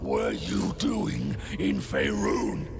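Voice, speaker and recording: An actor playing a brutish, impatient hobgoblin war leader in a video game, with a gravelly growl and rough, blunt, commanding speech. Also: male voice, medium pitch, wildly swooping pitch, very slow, over music, exaggerated voice. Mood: gruff, suspicious. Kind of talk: video game character acting.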